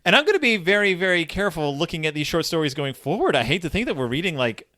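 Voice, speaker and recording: Animated man co-hosting a casual podcast. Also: on the high side, fast talker, dry recording, studio quality. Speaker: podcast co-host. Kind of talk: casual book discussion podcast.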